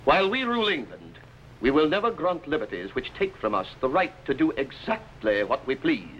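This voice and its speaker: A man's voice: fairly high pitch, big pitch swings, a little fast, a bit bright. Casting: tyrannical medieval king, pompous and commanding, swooping delivery, noisy vintage TV soundtrack. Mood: haughty. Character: arrogant, tyrannical, scheming medieval English king. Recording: noisy 1950s television soundtrack.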